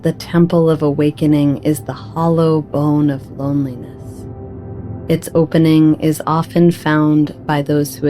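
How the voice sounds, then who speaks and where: Low, slow-paced woman reading spiritual poetry. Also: dark tone. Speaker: audiobook narrator.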